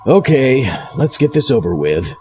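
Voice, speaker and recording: A man's voice: medium pitch, sing-song, a little slow. A male actor as a grumpy, ungrateful man in a comedy sketch. His mid-range voice swings about with unimpressed sarcasm. Music plays behind the slightly muffled audio. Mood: resigned, unimpressed. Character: grumpy, ungrateful man opening presents at a party.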